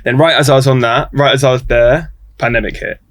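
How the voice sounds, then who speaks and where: A man in his twenties from Croatia talking as a podcast guest, reflective and halting, with frequent restarts. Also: medium pitch, fairly even intonation, fairly bright tone, dry recording. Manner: halting, restarts often, thoughtful. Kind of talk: reflective casual conversation.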